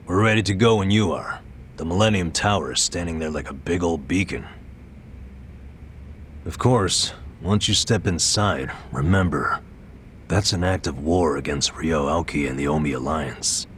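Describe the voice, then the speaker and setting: Deep steady crime game buddy, dry as hell, bit of background noise. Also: male voice, normal speed. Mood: wry, grave. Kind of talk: video game cutscene acting.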